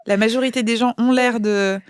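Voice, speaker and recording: A woman's voice: fairly high pitch, steady tone, brisk. Reflective French woman podcast guest. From France. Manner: thoughtful, many fillers and restarts. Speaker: guest, author. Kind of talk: casual podcast conversation.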